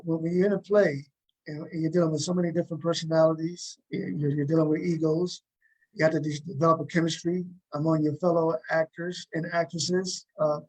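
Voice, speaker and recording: Male interviewer, fairly high voice, conversational, halting and rambling on the way to each question. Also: darkish tone, poor audio. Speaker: interviewer, fellow cast member.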